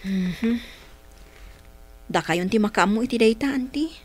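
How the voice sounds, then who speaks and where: A woman's voice: fairly high pitch, lively intonation, measured pace. A woman playing a polite, earnest young married woman in a radio drama, deferential, with an Ilocano accent. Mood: hurt, defensive.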